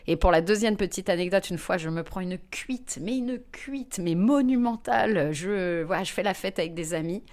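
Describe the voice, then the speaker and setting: A woman comedian, a guest in an interview, telling lively personal anecdotes with frequent fillers. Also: fairly low pitch, moderate pace, hardly any pauses. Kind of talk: anecdotal storytelling in conversation.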